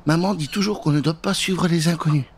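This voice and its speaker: A careful, well-mannered older sister from a children's tale, voiced by a man. Her voice is raised in pitch and slightly husky. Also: fairly quick. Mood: polite, wary. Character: polite, cautious girl, responsible older sister. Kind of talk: children's Halloween storytelling.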